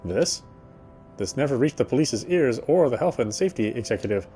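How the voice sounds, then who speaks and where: A man's voice: medium pitch, animated, fairly quick, dark tone, soft background music, lightly acted. An actor playing a weary male city detective, narrating in the first person with a wry inner voice.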